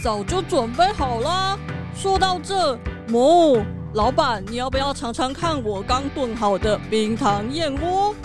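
A woman's voice: high-pitched, lively intonation, a little slow, over music. A woman voicing an obliging water buffalo chef who moos, in a children's audio drama. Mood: eager, obliging.

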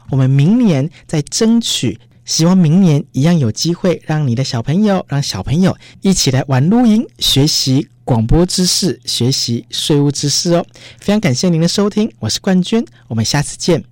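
A radio host chatting directly to listeners in an announcing style, ending many phrases with soft particles. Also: mid-range voice, no room sound. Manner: frequent sentence-final particles, addresses listeners directly.

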